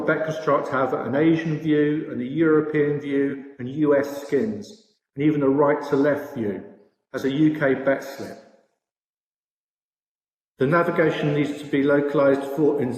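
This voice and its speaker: Echoey British man giving a presentation. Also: on the high side. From Britain. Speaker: industry conference presenter, sportsbook products director. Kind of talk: slide presentation. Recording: echoey room with long reverb.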